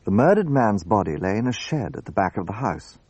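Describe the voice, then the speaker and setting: Observant gentleman narrator, husky, lively intonation, earnest, descriptive, radio drama. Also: on the low side, a little fast, no echo, highs cut off. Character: observant gentleman companion narrating a murder case.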